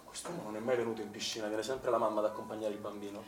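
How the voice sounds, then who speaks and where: A man's voice: lowish voice, steady tone, racing, close to an everyday voice. An actor playing a defensive, irritable male swimming instructor in a naturalistic stage drama, agitated and blunt in manner. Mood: puzzled. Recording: echoey, distant stage recording with noise.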